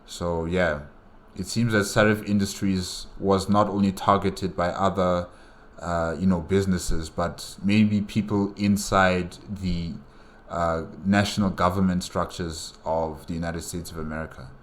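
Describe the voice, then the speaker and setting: A man with a very low voice gives casual solo commentary as a reaction host. He muses aloud, working through his thoughts as he goes. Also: steady tone, unhurried. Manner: musing, thinking aloud.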